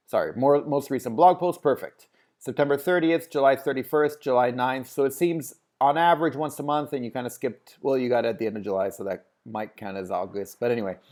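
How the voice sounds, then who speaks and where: A man talks through a screen share at his desk in a relaxed, rambling way. He thinks aloud and fills gaps with "um" and "uh," restarting his sentences as he goes. His voice sits at an ordinary middle pitch. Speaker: host reviewing a website. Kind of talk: casual screen-share critique.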